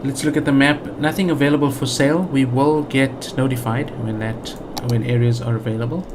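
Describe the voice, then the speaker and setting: A man's voice: medium pitch, fairly even intonation, fairly quick, background noise. A man with a South African accent giving casual let's play commentary, thinking aloud with lots of fillers and restarts. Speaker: gaming channel host.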